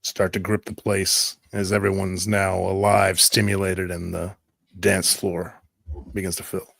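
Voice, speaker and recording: Male game master, fairly low voice, dry recording, measured atmospheric scene description. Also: little pitch movement, creaky, a bit bright, no room sound. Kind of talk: descriptive third-person tabletop game narration.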